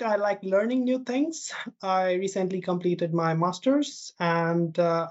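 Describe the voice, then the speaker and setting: A podcast guest speaking slowly and hesitantly with many fillers. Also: male voice, sits high, lo-fi sound. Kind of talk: conversational podcast talk.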